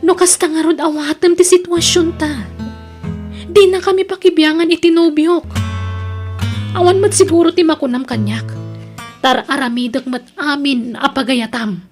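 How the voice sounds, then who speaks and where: A woman's voice: high-pitched, steady tone, normal speed, darkish tone, faint music behind, lightly acted. A woman with an Ilocano accent acting in an audio drama as a young student, an evasive and defiant girlfriend. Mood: firm, annoyed.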